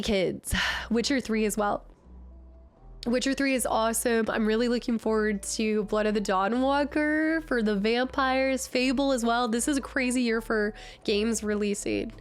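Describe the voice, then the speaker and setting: A woman streamer chatting casually with her viewers, her voice nasal and raspy from a cold, bubbly and excitable. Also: fairly high pitch. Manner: bubbly, excitable, greets chat often. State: recovering from a cold. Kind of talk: casual live-stream chat.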